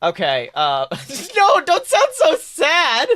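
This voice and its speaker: A man's voice: extremely high pitch, wildly swooping pitch, takes it slowly, bright, forceful tone. A man casually running a tabletop game as game master, teasing and joking as he narrates. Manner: teasing, jokey. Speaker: game master, podcast host.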